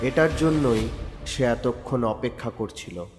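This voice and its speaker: Guy narrating a crime story, steady, echoey reverb and music under. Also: medium pitch, unhurried.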